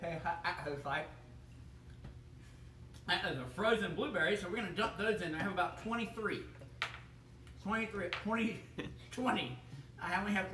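A man's voice: high voice, noisy background, close to an everyday voice. A man playing a goofy bumbling kitchen chef. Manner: clumsy, rambling, chuckling. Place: bright home kitchen. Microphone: camera across the room. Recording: echoey room, mic from far off.

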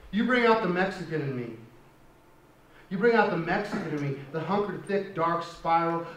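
Man lecturing in an echoey hall. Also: a little high. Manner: conversational, keeps asking "right?". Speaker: poetry lecturer. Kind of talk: university lecture with a poem reading. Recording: echoey hall from far off, faint hiss.

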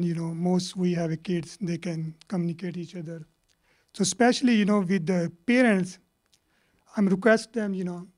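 Mellow-voiced Pakistani man, halting speech. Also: high-pitched. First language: Punjabi. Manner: rambling, halting, many fillers. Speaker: panelist. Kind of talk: casual panel remarks. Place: lecture hall panel. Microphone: handheld mic.

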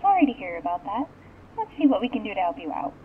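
A woman's voice: medium pitch, big pitch swings, fast, slight background noise. A woman working as a customer service agent, talking politely to a caller over the phone. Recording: thin, tinny phone line.

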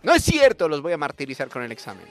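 A man's voice: a little high, wildly swooping pitch, fast talker. A young man hosting a comedic radio monologue in a theatrical, playful way, repeating his words. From Bolivia. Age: young adult. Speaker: radio host, comic monologist. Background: loud music bed.

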